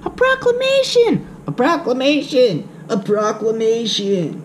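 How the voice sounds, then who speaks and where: A high, squealing little storybook mole rat, put on by a man, indignant and squeamish. The voice swoops wildly and exclaims in exaggerated outrage. It is a home recording with steady room noise. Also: measured pace, heavily acted. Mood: alarmed. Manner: exclamatory, exaggerated disgust and alarm. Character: squeamish, indignant crowd of young naked mole rats.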